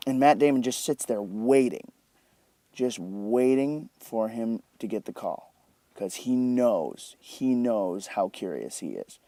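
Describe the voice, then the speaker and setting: Animated man breaking down a film, close dry sound. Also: mid-range voice, no echo. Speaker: film review host. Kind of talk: enthusiastic film scene commentary.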